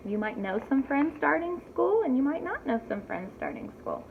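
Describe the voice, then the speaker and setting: A woman reading a story aloud to young children in an animated teacherly way, pausing to ask them simple questions. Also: high voice. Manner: addresses young listeners directly with simple questions. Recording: thin phone-like audio with clear background noise.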